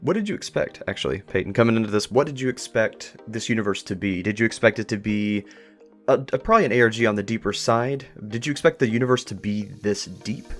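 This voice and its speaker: A man's voice: lowish voice, fast talker, a bit bright, soft background music. A man hosting a thoughtful interview conversation, speaking in a reflective, wordy way as he talks ideas through. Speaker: podcast host interviewing creators.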